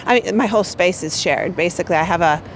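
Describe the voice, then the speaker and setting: An American woman who manages corporate marketing, talking in an unscripted interview with a loud voice, many fillers and quick restarts. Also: lowish voice, slight background noise. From United States.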